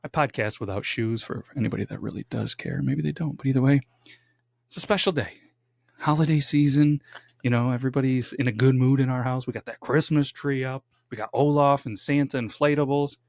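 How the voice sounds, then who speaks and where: Young male podcast host, mid-pitch, chatty and jokey, dry close studio sound. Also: fairly quick, vocal fry, a little mellow, no echo. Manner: chatty, jokey, dramatic build-ups. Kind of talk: casual true-crime podcast chat. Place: home studio.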